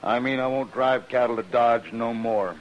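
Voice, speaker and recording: An actor playing a gruff, aggrieved Texas trail boss in an old-time radio western, blunt and challenging, with folksy cowboy grammar. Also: male voice, mid-range voice, normal speed, natural voice. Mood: defiant. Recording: dull, hissy old radio recording.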